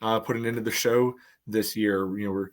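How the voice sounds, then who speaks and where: A man's voice: lowish voice, fairly even intonation, brisk. A man co-hosting a soccer fan podcast, chatting casually and steering the conversation. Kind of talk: casual chat, moderating.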